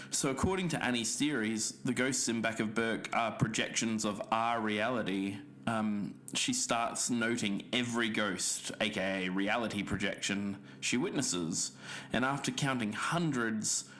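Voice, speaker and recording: A man's voice: on the low side, a bit bright, slight background noise. A man playing a sober, methodical podcast investigator, explaining evidence carefully and with some hesitation. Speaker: podcast co-host. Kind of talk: scripted fiction-podcast narration.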